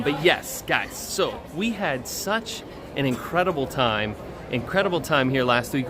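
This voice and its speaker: A man with a slightly hoarse voice chats casually to viewers and friends as he hosts a live stream. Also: a little high. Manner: chatty, addresses viewers and friends. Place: outdoors at a busy theme park.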